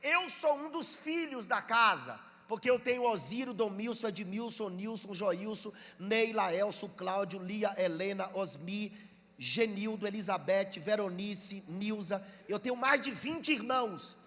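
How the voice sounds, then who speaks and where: A Brazilian pastor from Bahia with a Bahian accent, preaching a sermon, reading scripture slowly and calling on the congregation to answer. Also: male voice, high voice, animated, fairly bright tone, in a large hall. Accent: Bahian Brazilian Portuguese. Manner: reads scripture slowly and drawn out, urges listeners to respond.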